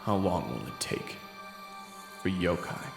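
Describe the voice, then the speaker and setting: Low-voiced man narrating over loud music. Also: expressive, slow pace, gravelly. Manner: second-person storytelling with occasional swearing. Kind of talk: dramatic first-person audio roleplay narration. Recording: echoey room, loud music underneath. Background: loud game soundtrack music.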